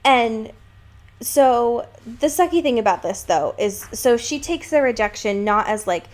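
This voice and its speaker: A woman co-hosting a podcast, chatting casually about a book and retelling it in an amused, halting way with acted-out quotes. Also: fairly high pitch, animated. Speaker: podcast co-host. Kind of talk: casual book discussion chat.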